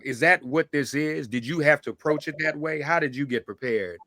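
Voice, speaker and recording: A man's voice: on the high side, brisk, pushed and bright, dry recording. Male interview host, dry close sound. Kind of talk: conversational panel interview.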